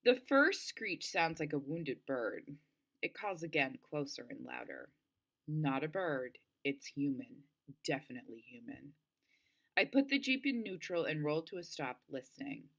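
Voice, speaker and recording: Expressive low-voiced woman reading a story. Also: unhurried, vocal fry. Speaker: audiobook-style reader. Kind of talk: read-aloud narration of a novel.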